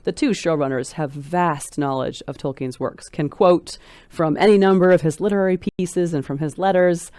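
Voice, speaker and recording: A woman speaking as a scholarly interview guest, articulate and precise, phrasing her thoughts in a measured, thoughtful way. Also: sits low, darkish tone, no room sound.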